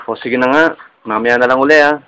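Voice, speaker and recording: A man's voice: medium pitch, steady tone, fairly quick, a bit bright, smooth, natural voice. A man playing an earnest, persistent young suitor in a radio drama, coaxing and reassuring, with a Filipino accent. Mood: coaxing, friendly.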